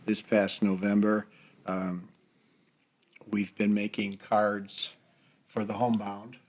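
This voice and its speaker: An older man in a casual group discussion, talking haltingly as he searches for his words. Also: fairly low pitch, level tone. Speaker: church seniors' group member.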